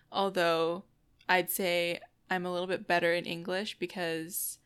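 A woman's voice: on the low side, a bit bright, clear, resonant tone. A young woman from the United States co-hosting a casual podcast, talking in a friendly, reflective way in full sentences. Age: young adult. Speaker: podcast co-host.

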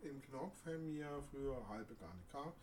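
A man's voice: mid-range voice, lively intonation, normal speed, darkish tone, recorded from a distance, slight background noise. A man in casual chat, speaking hesitantly with many fillers.

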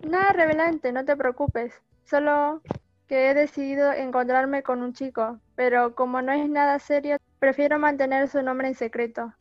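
Radio play lead: scheming young woman, female actor, high pitch, slightly dull recording. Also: steady tone, moderate pace, no room sound, soft background music, natural voice. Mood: evasive, friendly. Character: scheming young woman secretly bent on avenging her father. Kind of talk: amateur radio play acting.

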